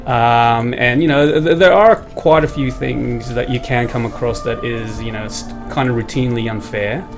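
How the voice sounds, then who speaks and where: A man's voice: medium pitch, normal speed, few pauses, soft background music. A man hosting a weekly game live stream, full of "um" and "you know", reading viewer comments and steering the chat. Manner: filler-heavy, reads out viewer comments, steers the talk.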